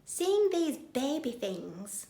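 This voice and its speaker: A woman voicing a chirpy, polite female burglar with a genteel, excitable manner in a storybook reading. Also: high voice, drawn-out words, voice pushed up, heavily acted. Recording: roomy echo.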